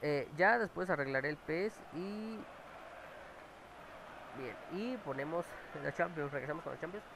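A Mexican man with a cold talks casually over his own match, exclaiming and cursing at each play. His voice sits a little high. A clip-on mic close to his mouth picks him up, with clear noise behind him. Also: expressive, a little slow. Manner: chatty, exclaims and swears at plays. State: has a cold. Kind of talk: casual gameplay chat. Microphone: clip-on mic near his mouth.

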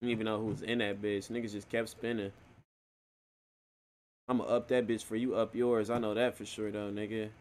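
A man's voice: fairly low pitch, little pitch movement, a little fast, long pauses, darkish tone. A man streaming a roleplay game, talking casually in profane street slang.

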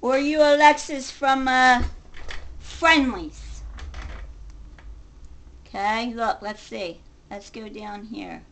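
High-pitched agitated middle-aged woman. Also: fairly even intonation, slow pace, vocal fry, long pauses, fairly bright tone. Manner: agitated, combative, sarcastic, profane. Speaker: vlogger. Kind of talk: confrontational ranting to camera, casual. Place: home kitchen, indoors. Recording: noisy home webcam recording.

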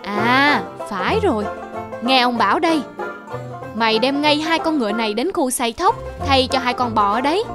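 A woman's voice: high voice, animated, moderate pace, loud music behind the voice. A woman voicing a stingy, bossy middle-aged male landowner in a cartoon folk tale, grumbling and commanding. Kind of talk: animated folk tale acting.

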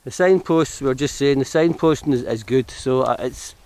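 A man's voice: medium pitch, moderate pace, darkish tone, no room sound. Scottish man, hiking vlogger, rambling casual commentary, camera mic outdoors. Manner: chatty, rambling, self-correcting. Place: outdoors on hill paths.

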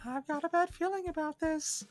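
A man voicing a gruff, streetwise male agent who bosses his young partner around. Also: very high-pitched, normal speed, voice pushed down. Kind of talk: improvised game voice acting.

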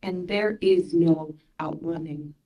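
A woman in her thirties from Ukraine whose first language is Russian, reading her poems aloud in a measured, soft-spoken way at a mic stand in a small gallery room. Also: deep voice, steady tone, very noisy. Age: 30s. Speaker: poet. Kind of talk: poetry reading. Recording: roomy room echo.

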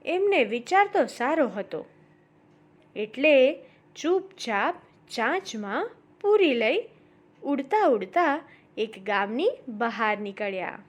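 A woman's voice: high voice, sing-song, slow pace, long pauses. A woman narrating a story for children as a storyteller, addressing young listeners directly.

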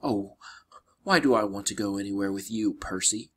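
A man voicing a sharp, exasperated adolescent girl in a storybook, snappy and indignant. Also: on the low side, normal speed, pitched below the performer's own voice.

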